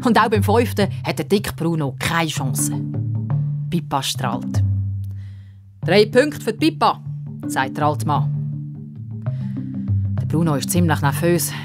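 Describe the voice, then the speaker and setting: A woman's voice: low voice, unhurried, pauses often, over loud music. A woman with a Swiss accent narrating a children's story expressively.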